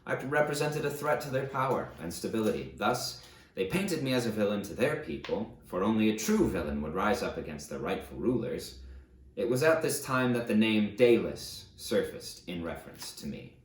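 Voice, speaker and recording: Male reader as a defiant conqueror-king, low voice, declamatory, self-justifying storybook memoir, echoey room. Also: very animated, normal speed. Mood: proud, justifying. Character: proud, defiant conqueror ruler recounting his rise. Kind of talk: dramatic first-person audiobook narration. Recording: roomy, echoey sound.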